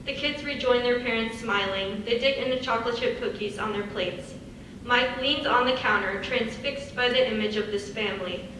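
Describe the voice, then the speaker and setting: A woman's voice: a little high, moderate pace. A woman reading stage directions as scripted narration. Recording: echoey hall, far from mic, faint noise.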